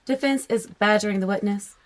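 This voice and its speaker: A woman's voice: mid-range voice, brisk, close to an everyday voice. Woman acting a firm prosecutor lady in a radio play, mid voice, formal. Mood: assertive. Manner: formal, assertive. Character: assertive, formal female prosecutor. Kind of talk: audio drama acting.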